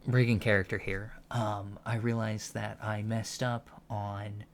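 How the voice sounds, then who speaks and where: A man speaks softly and casually, as an ASMR creator addressing his audience. His voice sits fairly low and is full of fry. The delivery is hesitant and informal, with faint noise behind it. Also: flat delivery, measured pace. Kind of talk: soft-spoken casual address to viewers.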